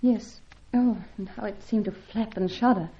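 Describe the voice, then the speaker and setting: A woman's voice: mid-range voice, fairly even intonation, normal speed, mellow, close to an everyday voice. An actress playing a helpless, anxious young woman in an old radio adventure serial, with a genteel, earnest, fretful delivery. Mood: wistful, demure. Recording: dull, lo-fi old radio recording.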